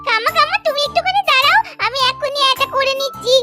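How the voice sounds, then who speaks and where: Very high-pitched little girl, whiny, scared, pleading, doll-story play, children's music behind. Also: expressive, quick pace. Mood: hurried. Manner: whiny, exclaiming, repeats calls and pleas. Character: frightened little village girl, urgent and pleading.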